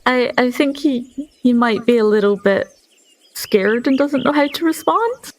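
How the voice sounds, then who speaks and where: A woman playing a shy, gentle teenage schoolgirl in a tabletop game, hesitant and understated. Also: on the high side, animated, unhurried.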